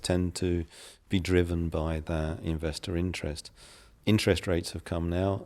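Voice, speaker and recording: A middle-aged English man with a very low, gravelly voice, answering interview questions in a measured, businesslike way. His tone is dark and mellow, and he pauses with the odd filler as he thinks. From England. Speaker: guest, property developer.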